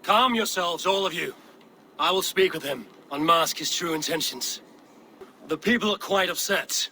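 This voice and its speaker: An actor playing a steady, commanding Viking warrior leader in a game cutscene. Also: male voice, sits high, moderate pace, a little hiss or hum. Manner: calm, commanding. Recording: game audio with ambient background.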